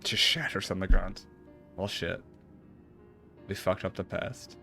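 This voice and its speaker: Low-voiced man streaming a game. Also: a little slow, creaky, sharp tone, soft background music. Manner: joking, swears casually. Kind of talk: playthrough reaction, reading game dialogue aloud with casual asides.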